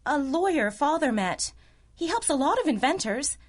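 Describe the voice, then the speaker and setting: Casting: high-pitched, worried ingénue client, gushing, flustered, melodramatic 1930s radio heroine in need of rescue. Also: female voice, sing-song, moderate pace. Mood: anxious, earnest. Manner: breathlessly earnest, flustered, polite. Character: helpless, fretful, gushing damsel in distress. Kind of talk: melodramatic 1930s-style radio drama acting.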